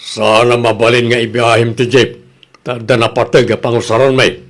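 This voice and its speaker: A man's voice: mid-range voice, little pitch movement, moderate pace, lightly acted. A man with an Ilocano accent playing a money-minded jeepney owner, a casual and self-interested boss in a comedy radio drama. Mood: offhand.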